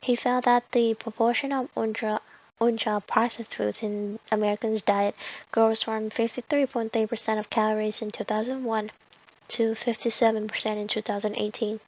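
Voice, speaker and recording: Vietnamese-accented woman, flat and hesitant. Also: on the high side, normal speed, highs cut off. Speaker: student presenter. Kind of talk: informal class presentation.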